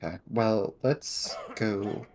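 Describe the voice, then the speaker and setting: A man's voice: lowish voice, takes it slowly, darkish tone, a little hiss or hum. A man playing a cautious, practical schoolboy investigator in an improvised tabletop game, hesitant and understated. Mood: cautious concern.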